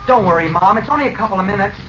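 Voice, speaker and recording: A man's voice: high voice, expressive, racing, background noise. A man playing a cocky, teasing teenage boy in a 1940s radio adventure, breezy and wisecracking. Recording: dull vintage radio recording with music.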